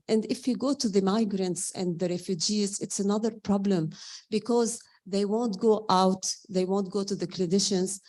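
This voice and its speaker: A woman from Lebanon speaks with a Lebanese accent in a soft-edged, mellow tone at a medium pitch. She makes her case emphatically in unscripted panel remarks, like a professional addressing colleagues at a roundtable. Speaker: community pharmacist, roundtable participant.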